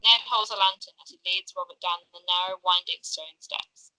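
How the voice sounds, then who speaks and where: A woman's voice: lowish voice, steady tone, normal speed, pushed and bright, no echo, low-quality recording. A woman narrating the stage directions of a script at a table read, occasionally stumbling over the text.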